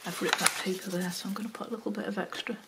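A woman's voice: medium pitch, steady tone, racing, heavy background noise. An older Welsh-born woman with a Wirral accent, mixing Welsh and Liverpool sounds, chatting through a craft tutorial at her table, full of ums and asides to herself. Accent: Wirral, mix of Welsh and Liverpool. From Wales. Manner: chatty, many ums, talks to herself while working. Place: home craft table, indoors. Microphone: overhead camera, hands only.

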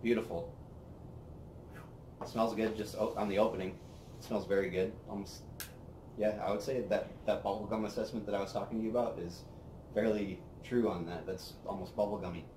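Young guy with a lowish voice rambling about bourbon outside, echoey, faint background hum. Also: a little fast, long pauses. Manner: relaxed, rambling, lots of fillers. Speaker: whiskey review host. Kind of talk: casual tasting chat. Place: outdoor patio by a brick wall. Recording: roomy outdoor sound with faint ambience.

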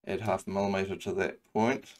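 A man with a New Zealand accent talking through a software modelling tutorial, thinking aloud with frequent ums and restarts. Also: deep voice, fairly even intonation, brisk. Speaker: CAD design tutor. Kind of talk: screen-recorded software tutorial.

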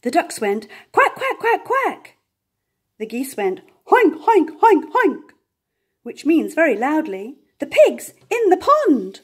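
An older woman reads a children's story aloud at a leisurely pace. Her fairly high voice rises and falls with great liveliness, and she gives the animal sounds and key words playful emphasis. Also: wildly swooping pitch, slow. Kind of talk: reading a picture book aloud. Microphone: camera above the book on a table.

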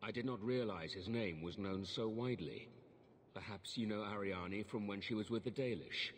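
An actor playing a measured, formal templar knight in dramatic game dialogue. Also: male voice, lowish voice, long reverb tail, slight background noise, lightly acted. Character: stern-minded male templar knight in armour, measured, formal.

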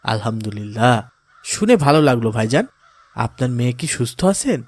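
A man voicing a pious, kindly father in a moral cartoon, giving gentle counsel in a calm, polite, instructive way. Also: medium pitch, fairly quick. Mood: reassuring, pious. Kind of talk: cartoon voice acting in moral story.

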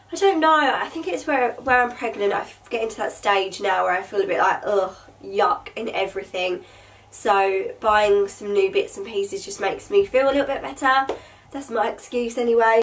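A young woman with a fairly high voice, stuffed up and nasal from a bad cold. She chats in a bubbly, rambling way full of ums, recorded on a camera mic set a little way off in a bedroom. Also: far from the mic, a little hiss or hum. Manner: chatty, bubbly, lots of fillers. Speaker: vlogger. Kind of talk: casual haul chat to camera. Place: bedroom with vanity table, indoors.

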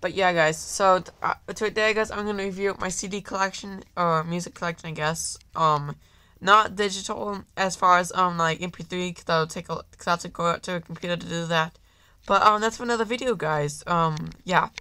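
A man's voice: high voice, fairly even intonation, a little fast, bright, forceful tone, clean tone, dry recording. A man with a cold, rambling casually through a video monologue, full of fillers and apologies and constantly addressing viewers as "guys", recorded on a handheld camera at home. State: has a cold. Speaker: YouTuber.

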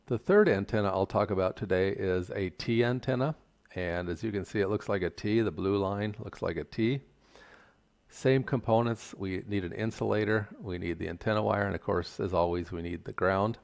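An older man giving a measured, plain-spoken, step-by-step tutorial explanation. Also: fairly low pitch, vocal fry, darkish tone. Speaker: instructor. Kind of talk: explanatory tutorial narration over slides.

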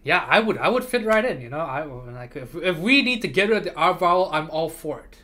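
Livestream host, man, first language Chinese, talkative and repetitive, bright fairly high voice. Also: animated, a little fast. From moved to America from abroad. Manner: rambling, repeats words, laughs often. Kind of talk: casual chat with chat readers.

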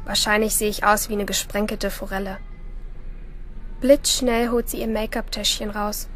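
A woman's voice: medium pitch, fairly even intonation, normal speed, fairly bright tone, slight background noise, natural voice. An actress playing a moody, infatuated teenage schoolgirl, narrating inwardly and intimately in a radio play. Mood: dreamy, observant. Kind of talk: first-person radio play monologue.

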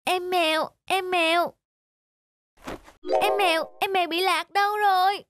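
High-pitched, tender little cartoon girl. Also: steady tone, a little slow, slight background noise. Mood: sad, tender. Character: tender, sad little girl who loves cats. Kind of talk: animated moral tale voice acting. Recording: loud music under the dialogue.

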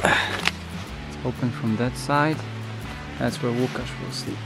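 Young Polish-accented man, unhurried hiking vlogger, filmed outdoors on a handheld camera with music behind. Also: medium pitch, gravelly. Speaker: hiker vlogger. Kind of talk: casual vlog narration. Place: outdoors in a mountain forest. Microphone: handheld action camera.

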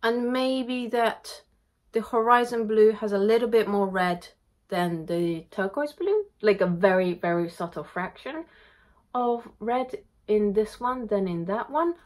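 A woman in her thirties sits at her desk in a home art studio, talking into a camera in front of her. She reads viewer questions aloud and answers them candidly in a relaxed, chatty way, at an ordinary middle pitch. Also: unhurried. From Japan. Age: 30s. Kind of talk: casual Q&A to camera. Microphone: camera in front at desk distance.

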